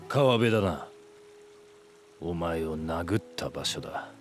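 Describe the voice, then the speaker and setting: An actor playing a stoic, loyal warrior in a superhero audio drama, speaking in a terse, solemn, deadpan way. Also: male voice, low-pitched, slow, faint music behind. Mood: grave.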